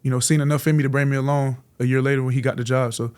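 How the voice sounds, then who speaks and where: Quick, flat-voiced young man from Florida. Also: mid-range voice, clean tone. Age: 20s. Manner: soft-spoken, polite, answers with "yes, sir". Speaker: college football player, guest. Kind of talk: casual interview answers.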